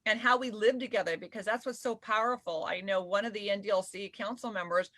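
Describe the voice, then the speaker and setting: A woman talking casually on a live panel video call, animated and quick to laugh. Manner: animated, laughs often, says she has a deep voice. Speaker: spokeswoman and panelist.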